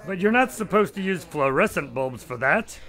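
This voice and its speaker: A wry doctor with a bright, lively voice that rises and falls freely. He teases his friend with dry humor. It is performed as a studio radio play. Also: high-pitched, normal speed. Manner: wry, lightly teasing. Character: Doctor Watson, dry-witted physician on a date. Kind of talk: audio drama acting.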